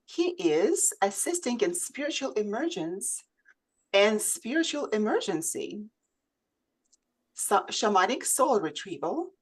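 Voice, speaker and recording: A woman's voice: medium pitch, very animated, slow, breathy edge. A woman hosting a conversational interview show, speaking over a remote video call. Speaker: host.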